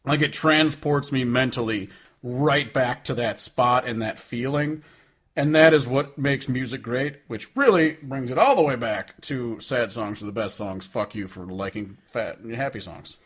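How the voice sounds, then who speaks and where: A man's voice: mid-range voice, measured pace, fairly bright tone, breathy edge. Emphatic, rambling, swearing male podcast co-host. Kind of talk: casual music discussion. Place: indoors, remote call between hosts.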